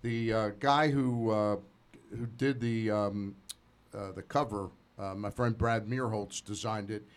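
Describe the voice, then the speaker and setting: A man in his sixties hosting a casual sports radio show, talking in a halting, digressive way full of asides. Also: fairly low pitch, steady tone. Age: 60s. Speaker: sports talk show host.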